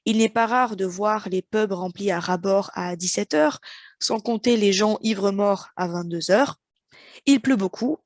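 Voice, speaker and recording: A young woman from France giving a clear, measured prepared speech with light humor, recorded close to the mic. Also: mid-range voice, no room sound. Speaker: interpreting student giving a practice speech. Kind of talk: prepared speech for interpreting practice.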